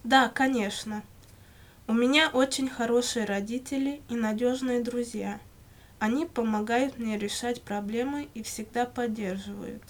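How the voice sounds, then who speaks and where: Higher-pitched woman reading lesson answers. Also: steady tone, unhurried. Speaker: language-lesson model speaker answering questions. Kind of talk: scripted language-lesson dialogue, read aloud.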